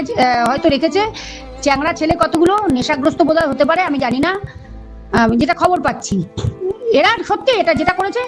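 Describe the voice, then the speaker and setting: A woman speaking defensively and insistently in a heated phone conversation. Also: very high voice. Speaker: animal rescuer. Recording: phone call recording with music underneath.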